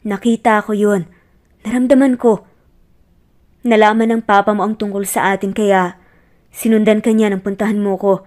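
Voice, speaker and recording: A woman voicing a gentle, remorseful man pleading earnestly with his former girlfriend in a romance audio story. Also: medium pitch, little pitch movement, normal speed, long pauses, close to an everyday voice. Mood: earnest, explaining.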